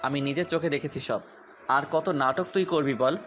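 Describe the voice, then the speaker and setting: A man's voice: a little high, moderate pace, a bit bright, soft background music, close to an everyday voice. A man playing a possessive, jealous young fiancé in a romantic audio story, with a taunting, commanding, accusatory manner. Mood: angry, accusing.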